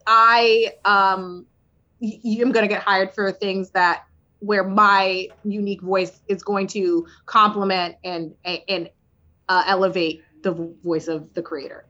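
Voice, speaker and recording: A woman who writes for TV talks candidly in a relaxed interview. Her delivery is animated and loose, full of "like" and quick restarts. The audio sounds slightly dull and lo-fi. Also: medium pitch. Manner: candid, animated, many fillers. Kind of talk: casual interview conversation.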